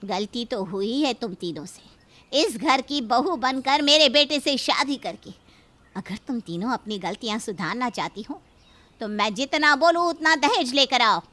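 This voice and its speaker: A woman's voice: on the high side, expressive, a little slow, a bit bright, a little hiss or hum. A woman playing a domineering, greedy middle-aged mother-in-law in a cartoon story, haughty and threatening as she scolds. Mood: stern, demanding. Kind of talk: Hindi cartoon story acting.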